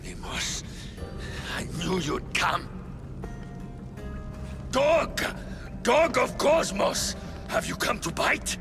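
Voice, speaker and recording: Cornered ex-cultist crime lord, high-pitched, slow menacing rants, ruthless, game cutscene, music behind. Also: male voice, wildly swooping pitch, gravelly, exaggerated voice. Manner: ranting, menacing, slow delivery. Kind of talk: video game cutscene acting. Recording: music under the voice.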